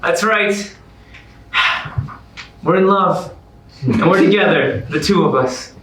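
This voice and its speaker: Guy playing sassy upbeat musical comedy narrator, high voice, recorded far from the mic. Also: slow. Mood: warm, reflective. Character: Oliver, upbeat sassy man, contest survivor turned narrator. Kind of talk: staged musical comedy reading, talk and song. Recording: distant echoey stage recording with music.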